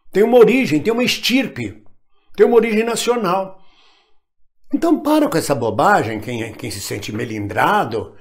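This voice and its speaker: An older Brazilian man from Minas Gerais, an actor speaking straight to camera at home, emphatic and proud as he defends himself. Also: sits high. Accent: Brazilian Portuguese, national standard. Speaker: actor addressing followers. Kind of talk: direct-to-camera monologue. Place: home room with bookshelf, indoors.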